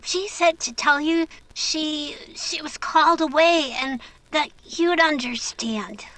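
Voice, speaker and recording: A woman's voice: high-pitched, slow pace, pushed and bright, dry recording, heavily acted, poor audio. A woman voicing a shy, eager little boy in a 1940s style radio drama. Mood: timid, polite.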